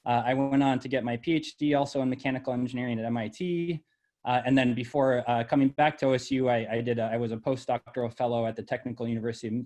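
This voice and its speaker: A man's voice: mid-range voice, darkish tone. Middle-aged man presenting his research, with frequent ums. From born in El Salvador, raised in Ohio. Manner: measured, with frequent ums and uhs. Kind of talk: slide presentation.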